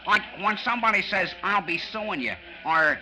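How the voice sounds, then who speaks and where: A man's voice: sits high, lively intonation, moderate pace, pushed and bright, slight background noise, full character voice, low-quality recording. A man playing a cheeky, folksy teenage copy boy with offhand slang in a 1940s radio drama. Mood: chatty.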